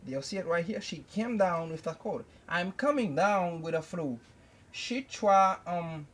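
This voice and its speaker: A young man teaching an English lesson at a whiteboard, slowly repeating each phrase several times. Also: on the high side, a bit bright. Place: indoors at a whiteboard. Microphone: camera close by.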